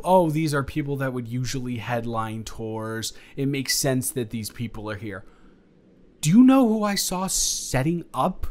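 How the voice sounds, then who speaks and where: A man playing an excitable, incredulous young influencer friend in an improvised audio roleplay, rambling emphatically to one listener. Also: fairly high pitch, lightly acted. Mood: awed, excited. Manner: rambling, emphatic, halting, with dropped asides.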